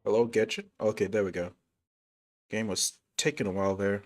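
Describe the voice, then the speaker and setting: Low-voiced man chatting on a gaming stream. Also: fairly even intonation, normal speed, faint music behind. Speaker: live streamer. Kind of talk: casual gaming livestream chat.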